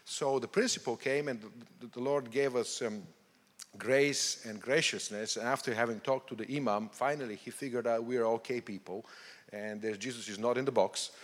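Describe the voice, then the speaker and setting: A man from Bulgaria with a Bulgarian accent, a missionary guest answering questions on stage, speaking haltingly with restarts. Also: medium pitch, steady tone. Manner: halting, with many restarts and fillers. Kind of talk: interview on stage. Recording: long hall reverb.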